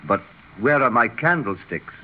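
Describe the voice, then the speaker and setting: An actor playing a serene, pious old bishop with a measured, solemn manner in a 1930s radio drama. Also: male voice, mid-range voice, expressive, close to an everyday voice. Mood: calm, unruffled. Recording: dull, noisy 1930s radio recording.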